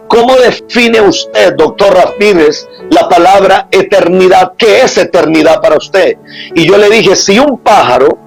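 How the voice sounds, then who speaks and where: A man's voice: high-pitched, creaky, breathy edge, rough recording. A man preaching a sermon as an apostle, emphatic and driving, stacking up repeated rhetorical lists.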